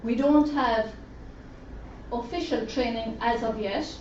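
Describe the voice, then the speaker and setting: A woman's voice: a little high, slow, a little mellow. Woman interpreter in an echoey room. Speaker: sign language interpreter voicing a deaf presenter. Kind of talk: first-person interpreted presentation. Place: conference room at a roundtable event. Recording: roomy, distant, dull lo-fi sound with faint noise.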